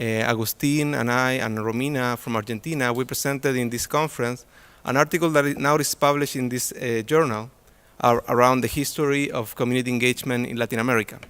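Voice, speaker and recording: A man's voice: medium pitch, smooth. Man presenting an academic talk. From Chile. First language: Spanish. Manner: hesitant, many fillers and restarts. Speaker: conference presenter.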